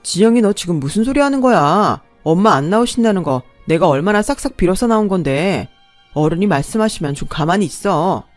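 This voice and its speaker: A woman's voice: low voice, sing-song, unhurried, soft background music. A woman voicing a spineless young man who whines and gets defensive. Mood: irritated. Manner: whiny and defensive. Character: spineless young man, mama's boy fiancé.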